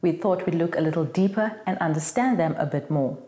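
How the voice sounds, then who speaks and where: Woman, scripted presenter, lowish warm tone, echoing room. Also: fairly quick, very noisy. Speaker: TV show presenter. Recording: roomy, echoing sound.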